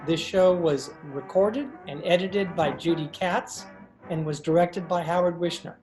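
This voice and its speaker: Low-voiced woman announcer over music. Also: unhurried, a little mellow, rough recording. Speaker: announcer reading the cast credits. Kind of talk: scripted closing credits.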